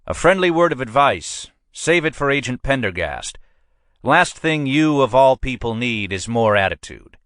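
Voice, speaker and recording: A man voicing a brusque, blustering middle-aged police captain in an audiobook, speaking much higher and louder than his own narration. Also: very animated, normal speed, no echo, clean studio sound. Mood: commanding. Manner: brusque, pushy, emphatic.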